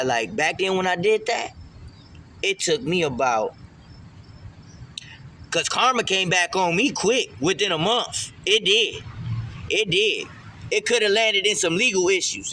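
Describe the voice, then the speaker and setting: Male vlogger, slightly high and forceful, emphatic street-slang delivery in a casual faith monologue, faint ambient noise behind. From Puerto Rican heritage. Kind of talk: casual spiritual vlog monologue.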